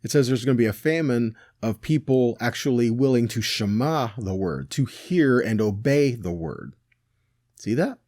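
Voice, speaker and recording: A man's voice: mid-range voice, lively intonation, normal speed, darkish tone, no echo, studio quality. A man teaching a scripture lesson in a casual, conversational way, reading verses aloud and paraphrasing them with frequent rhetorical questions and "right?". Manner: rhetorical questions, self-corrections. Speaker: teacher of a weekly Torah portion.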